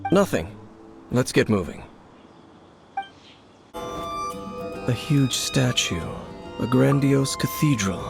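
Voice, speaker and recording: An actor playing an aloof, brooding male wanderer in an anime video game, speaking in a measured, formal, solemn way. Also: mid-range voice, expressive, gravelly, exaggerated voice. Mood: pensive. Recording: music behind the voice.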